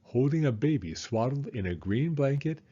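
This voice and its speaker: Mellow, lively male children's storyteller. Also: medium pitch, moderate pace, husky, lo-fi sound. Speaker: storyteller reading children's books aloud. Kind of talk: animated read-aloud narration for kids.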